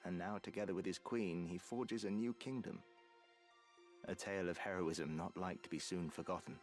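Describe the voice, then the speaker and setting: An actor playing a grave, remorseful man in a scripted game drama, speaking in formal, archaic, measured phrases. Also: low voice, steady tone, faint music behind, natural voice. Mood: solemn, reflective. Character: grave, remorseful male friend recounting news at a grave.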